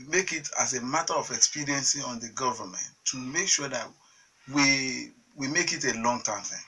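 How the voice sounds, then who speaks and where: Nigerian man, husky and bright, halting. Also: mid-range voice, recorded from a distance, rough recording. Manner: halting, many restarts and fillers, earnest. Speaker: science teachers association chairman, guest. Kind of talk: studio TV interview answers.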